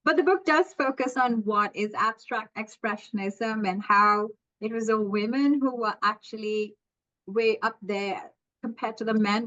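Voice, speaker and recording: A woman chatting casually in an art study group, often pausing and restarting. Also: mid-range voice, pushed and bright, highs cut off.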